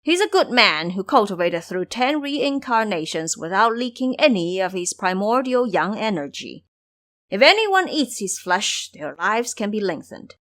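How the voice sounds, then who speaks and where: A woman's voice: mid-range voice, very animated, a little slow, fairly bright tone. A woman voicing a commanding male monster king who explains and orders with authority, in an audio story.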